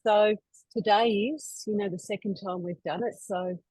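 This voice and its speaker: A woman's voice: medium pitch, fairly even intonation, normal speed, darkish tone, breathy edge, no echo, low-quality recording. A woman hosting an online members' webinar over a video call, chatty and loose, full of fillers and restarts. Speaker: webinar host.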